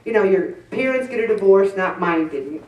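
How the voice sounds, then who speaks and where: A woman's voice: medium pitch, expressive, moderate pace, highs cut off. A middle-aged woman giving a candid, self-deprecating faith-based talk to a group, acting out her own inner voices. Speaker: group speaker. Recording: roomy echo from some distance.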